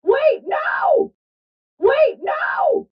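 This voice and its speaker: An actress playing a tough, bossy, wry spy handler in a cyberpunk video game, with clipped, slangy, teasing delivery. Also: female voice, very high-pitched, very animated, extremely slow, dry recording, shouting, lo-fi sound, heavily acted. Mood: urgent shouting. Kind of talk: video game voice acting, briefings and radio guidance.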